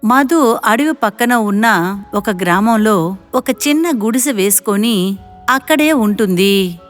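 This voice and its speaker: A woman's voice: mid-range voice, lively intonation, slow. Woman narrating a story over music.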